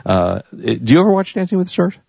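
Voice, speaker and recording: A man's voice: sits high, sing-song, fast, vocal fry, a little mellow, husky, no room sound, highs cut off. An American man co-hosting a radio show in casual banter, with a jokey, teasing manner.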